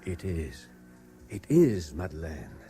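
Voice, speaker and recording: A man's voice: low-pitched, big pitch swings, slow pace, music in the background, heavily acted. An actor playing an intense, haunted Frenchman obsessed with a lost love, insistent and brooding, in 1970s radio drama style.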